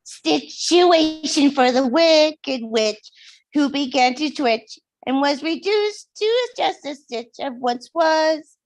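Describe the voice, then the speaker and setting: A tiny, cheerful munchkin villager acted by a woman chants in a singsong. The voice is extremely high and goes slowly and deliberately through musical rhymes. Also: expressive, heavily acted, low-quality recording. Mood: gleeful. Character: small, cheerful munchkin townsfolk, chanting gratefully. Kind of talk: musical lyrics read out in singsong.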